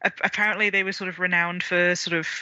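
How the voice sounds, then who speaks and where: Bright-voiced man, hesitant. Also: sits high, level tone, fast, clean tone, no echo, muffled recording. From Wales. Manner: hesitant, many ums and restarts. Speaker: guest. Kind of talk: podcast interview on folklore.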